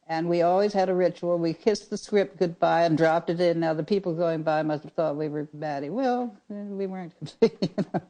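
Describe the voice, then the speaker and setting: Older woman, very mellow relaxed voice, spoken reminiscence, anecdotal and unhurried. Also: fairly low pitch, poor audio. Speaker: radio writer recalling her work.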